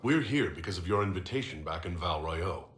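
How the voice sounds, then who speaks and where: A man's voice: low-pitched, animated, brisk, a bit bright. An actor playing a firm, pragmatic hero in a video game, direct and assertive as he negotiates. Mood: challenging. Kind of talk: dramatic video game acting. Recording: roomy echo, faint noise.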